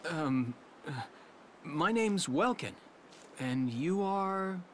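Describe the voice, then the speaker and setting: An actor playing a gentle, absent-minded young nature lover in a video game, mild and hesitant. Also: male voice, fairly high pitch, animated, drawn-out words, a little hiss or hum, exaggerated voice. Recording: music behind the voice.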